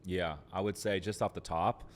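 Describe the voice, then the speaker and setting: Deep-voiced man talking business. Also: fairly even intonation, normal speed, a little hiss or hum. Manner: informal, frequent fillers like "like" and "literally". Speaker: podcast guest, real estate entrepreneur. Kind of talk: conversational business advice.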